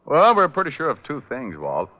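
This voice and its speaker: An actor playing a cool, wry private detective in an old radio drama, with a casual deadpan delivery. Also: male voice, fairly high pitch, normal speed. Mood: businesslike. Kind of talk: 1940s-50s radio detective acting. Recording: dull old-time radio recording.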